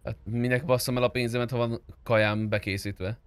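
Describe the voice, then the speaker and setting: A man's voice: mid-range voice, flat delivery, clear, resonant tone, dry recording. Teasing man chatting in game roleplay. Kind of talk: casual in-character game roleplay chat.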